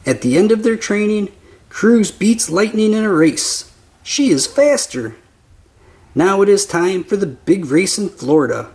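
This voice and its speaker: A man reading a children's picture book aloud as narrator, with simple, deliberate phrasing for young listeners. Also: high voice, lively intonation, a little mellow. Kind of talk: slow scripted children's story narration.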